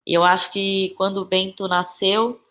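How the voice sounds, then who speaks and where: A Brazilian woman talks as a guest in a podcast conversation, her voice at an average pitch. She is reflective and halting, often circling back over a phrase as she thinks it through. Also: flat delivery, a bit bright. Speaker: interview guest, playwright and actress.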